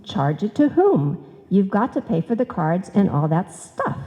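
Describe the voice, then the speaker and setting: A woman's voice: mid-range voice, sing-song, unhurried, mellow. An actress playing a sensible, hesitant female secretary who questions everything practical in a live old-time radio comedy. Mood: doubtful, practical. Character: sensible, doubtful female office secretary and reluctant partner. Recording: live hall recording, long reverb, music.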